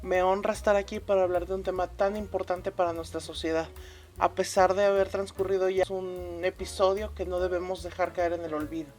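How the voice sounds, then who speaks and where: A woman acts as a learned historian in a radio play. Her voice sits a little low, and she explains things in a formal, assured and earnest way, like an expert guest. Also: level tone, a little fast, a little mellow, faint music behind, lightly acted. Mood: gracious, solemn. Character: learned, earnest female historian, a convinced researcher. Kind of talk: radio drama interview.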